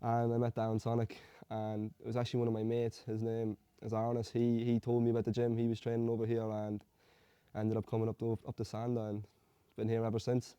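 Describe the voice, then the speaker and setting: A young man from Hungary, an amateur fighter telling his story in a relaxed, rambling way. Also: mid-range voice, brisk, smooth, dry recording. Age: young adult. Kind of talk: casual interview answers.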